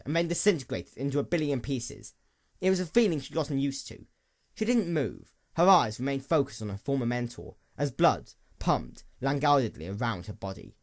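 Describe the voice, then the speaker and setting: Expressive, solemn male story narrator. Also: mid-range voice. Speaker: audiobook narrator of fan fiction. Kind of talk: dramatic scripted story narration.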